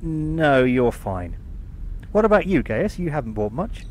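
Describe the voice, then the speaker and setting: A man playing a brisk, friendly starship engineer in a science fiction audio drama. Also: a little high, sing-song, darkish tone. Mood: friendly, reassuring. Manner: informal, matter-of-fact. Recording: background noise, room ambience.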